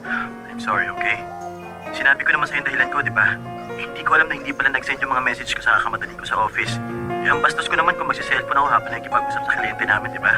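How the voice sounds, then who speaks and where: A man's voice: medium pitch, lightly acted. A man acting an apologetic, overworked young boyfriend in a Filipino radio drama, pleading and placating. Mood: defensive. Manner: hurried, pleading. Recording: prominent music behind voice.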